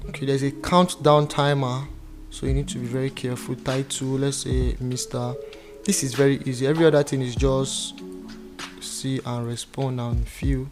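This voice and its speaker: Young nigerian guy doing a screen tutorial, nigerian accent, monotone, talks to himself, webcam mic, music in the back. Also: mid-range voice, moderate pace. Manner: talks through each step aloud, muttering to himself at times. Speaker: tutorial presenter. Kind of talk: screen-recorded walkthrough. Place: indoor room.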